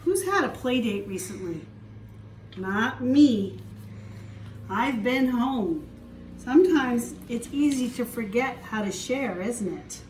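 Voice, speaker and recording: A woman storytime teacher reading aloud to preschoolers, expressive, with questions for the young listeners. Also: a little high, takes it slowly, roomy sound, distant mic, slight background noise, rough recording.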